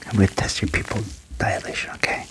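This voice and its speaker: A young man doing a soft ASMR medical roleplay in a breathy whisper, slow, soothing and reassuring, close to the microphone. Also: poor audio. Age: young adult. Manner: slow, soothing, reassuring, repeating short praise. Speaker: ASMR roleplayer playing an alien doctor. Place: small indoor room at home. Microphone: close desk microphone with furry windscreen.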